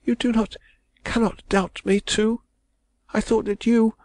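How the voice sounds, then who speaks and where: A man's voice: high voice, level tone, a little slow, a little mellow, no room sound, voice pushed up. A man reading a novel aloud, voicing an anguished, proud young woman who pleads and trembles as she speaks. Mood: despairing. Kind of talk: audiobook character voice.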